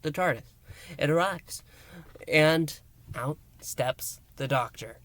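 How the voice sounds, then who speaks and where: A man hosting a review and recap, speaking in an emphatic, theatrical way with dramatic pauses. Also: a little high, fairly bright tone, dry recording. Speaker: host, reviewer. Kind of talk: enthusiastic scripted-style recap and review to listeners.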